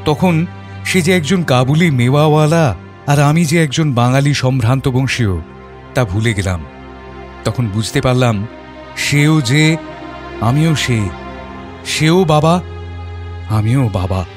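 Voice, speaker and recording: Male actor as compassionate Bengali father, first-person storytelling, reflective, heartfelt, medium pitch, music bed. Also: slow pace, pauses often, close to an everyday voice. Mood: reflective, moved. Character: gentle, compassionate Bengali gentleman, father of a bride.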